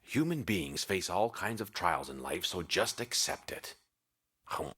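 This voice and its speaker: A man voicing a gruff, fatherly older doctor in an English anime dub, with a husky voice. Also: deep voice, animated, unhurried, a bit bright, full character voice. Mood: gruff, consoling. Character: gruff kindly older male doctor, practical comforter.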